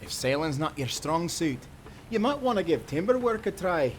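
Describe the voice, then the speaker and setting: A man's voice: on the high side, very animated, normal speed, a little hiss or hum. A man playing a cheerful, dreamy young sailor with old-time nautical speech in an audio roleplay. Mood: cheerful, wondering. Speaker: sailor guide and companion.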